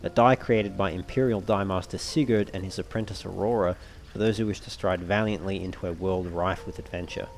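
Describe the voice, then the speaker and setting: A man's voice: sits low, steady tone, fairly quick, few pauses, a little mellow, slight background noise. A man giving casual commentary over a video game, sometimes reading item descriptions aloud. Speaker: let's play host.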